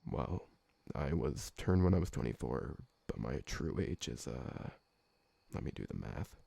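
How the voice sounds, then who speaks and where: A man playing a gentle, awkward, polite old vampire servant who talks hesitantly and deferentially in an ASMR roleplay. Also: very deep voice, lively intonation, a little slow, creaky, husky, no echo, full character voice. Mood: friendly, reflective. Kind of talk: ASMR roleplay audio drama.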